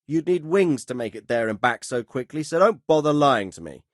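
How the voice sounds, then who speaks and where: A man playing a measured, fatherly but stern middle-aged medieval lord in a video game, speaking formally and with authority. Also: mid-range voice, animated, no room sound, clean studio sound, lightly acted. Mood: exasperated. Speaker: lord giving orders and counsel. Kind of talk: dramatic video game dialogue.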